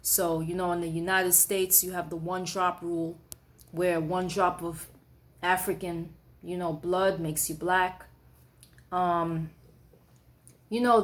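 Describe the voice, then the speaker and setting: A woman poet giving a thoughtful, conversational late-night monologue, often pausing with "you know" and "um", and reading her own writing aloud with extra force. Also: fairly low pitch.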